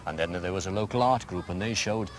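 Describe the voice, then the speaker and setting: A middle-aged Welsh headmaster answering interview questions in a measured, explanatory way, giving plenty of examples. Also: male voice, sits low. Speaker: school headmaster. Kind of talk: archive community TV interview answers.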